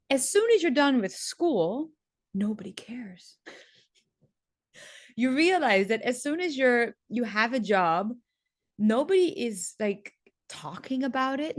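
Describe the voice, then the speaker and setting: A woman's voice: mid-range voice, dry recording, clean studio sound. A young adult woman, a teacher, talking casually and reflectively, full of "like" and "um". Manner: chatty, loose, with frequent "like" and "um".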